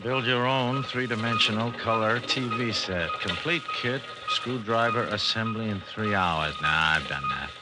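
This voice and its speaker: An actor playing an excitable, flustered hobbyist husband in 1950s radio drama style, stammering and repeating himself. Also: male voice, fairly low pitch, moderate pace, few pauses, over loud music, natural voice, rough recording. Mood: eager musing. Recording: old radio broadcast with faint noise.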